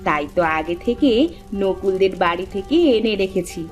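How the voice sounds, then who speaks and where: An affectionate village mother played by a woman in a cartoon fairy tale. She speaks gently and patiently in a mid-pitched voice, doting on her grown sons, with music underneath. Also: normal speed, lightly acted. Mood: tender.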